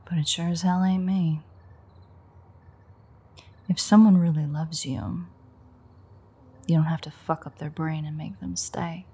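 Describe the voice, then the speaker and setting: A woman playing a teasing, sardonic vampire in an intimate audio roleplay, with a wry, foul-mouthed manner and a breathy, husky voice. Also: sits low, warm tone, full character voice. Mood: dry, candid.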